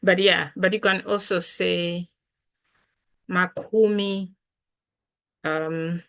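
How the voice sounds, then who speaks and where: Woman from Malawi, Chitumbuka native, language teacher; slow, patient delivery, lowish voice. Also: fairly even intonation, a bit bright. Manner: unhurried, patient, repeats and spells out words. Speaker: language teacher and channel host. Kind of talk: casual language lesson to camera.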